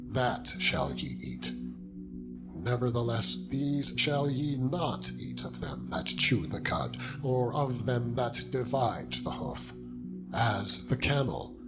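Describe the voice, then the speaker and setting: A man narrating scripture in a solemn, measured, declamatory style. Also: mid-range voice. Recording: loud music bed under the voice. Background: loud music.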